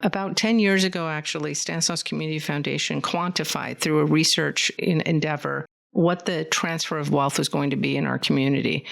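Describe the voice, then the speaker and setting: A woman's voice: low voice, gravelly. A woman from California's Central Valley, a community foundation leader, talking earnestly and at length as a guest in a podcast studio. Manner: fluent, earnest, rambling storyteller. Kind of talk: conversational interview.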